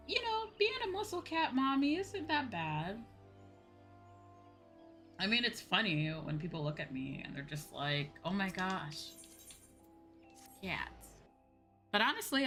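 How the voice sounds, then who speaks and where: A woman livestreamer chatting casually as she plays, emphatic and playfully self-deprecating, with an animated way of talking. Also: on the low side, a little slow, pauses often. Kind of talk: casual gaming stream chat. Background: music.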